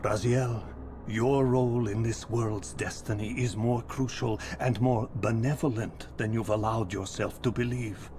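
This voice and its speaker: A male actor playing an ancient, benevolent vampire mentor with solemn, measured, formal speech in a fantasy game. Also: fairly low pitch, steady tone, noisy background. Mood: solemn, gentle. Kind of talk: dramatic fantasy game cutscene acting. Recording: echoing chamber, some background ambience.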